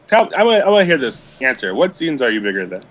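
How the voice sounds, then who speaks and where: Wry man with lively voice. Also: medium pitch, quick pace. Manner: wry, dismissive, prone to laughing. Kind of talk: casual chat.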